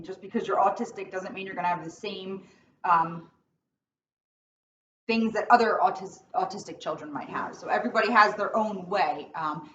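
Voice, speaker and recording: A woman speaks to children in a plain, encouraging way with a slightly halting delivery. Her voice has a middle pitch and sits away from the microphone, in a dull recording with faint noise behind it. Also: fairly bright tone. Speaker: police school resource officer reading children's stories. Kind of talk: storybook read-aloud and casual talk to children. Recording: muffled, faintly noisy, distant microphone.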